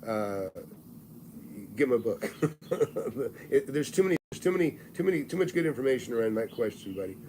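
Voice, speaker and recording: A man's voice: medium pitch, lively intonation, moderate pace, mellow, a little hiss or hum. A man in his sixties and a real estate mentor who hosts a live advice stream, talking in a blunt, folksy, salesy way and often restarting his sentences. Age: 60s.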